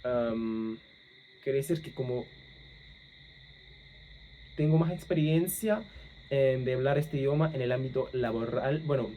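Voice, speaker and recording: A young English man chats to camera in Spanish that leans Argentine, his native English still underneath. His voice is mellow and dark, and he pauses often, correcting himself mid-thought. Faint insects and night sounds sit behind him. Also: mid-range voice, slight background noise. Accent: English speaker with Argentine-leaning Spanish. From England. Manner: thinking aloud, many fillers and self-corrections. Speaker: language-learning vlogger. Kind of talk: casual monologue to camera.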